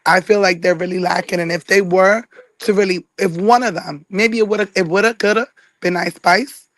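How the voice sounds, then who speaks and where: Hoarse woman caller, dry sound. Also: mid-range voice, steady tone, moderate pace, a bit bright, dry recording. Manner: affectionate, chatty. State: losing her voice. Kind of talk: casual chat.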